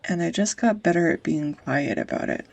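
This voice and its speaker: A woman acting an anxious, tender young woman in an intimate audio roleplay, speaking in a halting, confessional ramble on a close microphone. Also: fairly low pitch, gravelly, a little mellow. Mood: tender, vulnerable. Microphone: close USB studio microphone.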